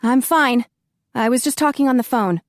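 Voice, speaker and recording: Woman reading a mad young woman chewing someone out, higher voice, dry close sound. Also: moderate pace, no room sound, close to an everyday voice. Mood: flustered. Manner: heated, exasperated scolding. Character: indignant young woman, fiery and defiant.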